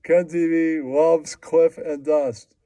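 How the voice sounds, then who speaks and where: A man's voice: fairly high pitch, fairly even intonation, takes it slowly, mellow, no echo. A man voicing a slangy, boastful, foul-mouthed puppet who repeats catchphrases in comic puppet show banter. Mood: mock-earnest.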